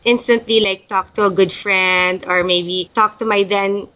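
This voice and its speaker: A young woman from the Philippines speaks in a bright, clear voice. She answers interview questions in a loose, chatty way, often restarting her sentences as she thinks aloud. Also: medium pitch, fairly even intonation, a little slow. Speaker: guest.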